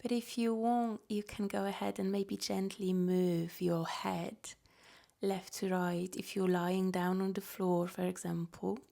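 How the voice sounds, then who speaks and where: A woman guiding a relaxation meditation as a yoga nidra teacher, soft-spoken and gentle, offering unhurried invitations. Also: medium pitch, creaky.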